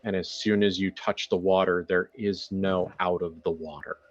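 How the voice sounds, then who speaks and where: A man narrating a horror tabletop game as game master, in a deliberate, dramatic storytelling manner with weighty pauses. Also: low-pitched, fairly even intonation. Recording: slightly dull audio with music behind. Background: music.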